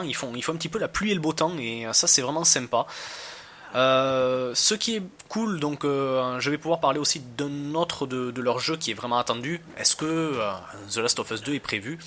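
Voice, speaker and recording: A man with a bright, crisp-edged voice of middling pitch reviews a video game as he plays. His delivery is casual and colloquial. He rambles and hesitates as he looks for the next thought. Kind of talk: casual gameplay commentary.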